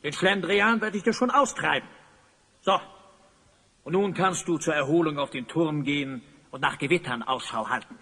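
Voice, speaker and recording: An actor playing a tyrannical, gloating evil sorcerer in an audio drama, pompous and commanding. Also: male voice, fairly high pitch, normal speed, bright, forceful tone. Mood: stern. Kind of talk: German audio drama acting. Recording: long cavernous reverb.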